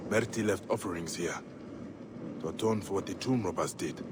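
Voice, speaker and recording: A man playing a grave, determined investigator of ancient Egyptian tombs in a video game, deliberate and brooding. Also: low voice, background noise, lightly acted.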